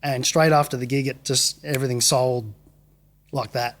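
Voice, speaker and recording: Easygoing Australian man, quick to laugh. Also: mid-range voice, steady tone, a bit bright. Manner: easygoing, laughs easily, lots of yeahs. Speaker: guest musician. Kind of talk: casual interview.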